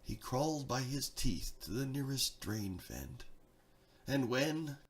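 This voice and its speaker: A man reading a rhyming story theatrically, swinging between hushed and excited delivery, with a gravelly creak in the voice. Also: medium pitch, slow. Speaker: narrator, storyteller.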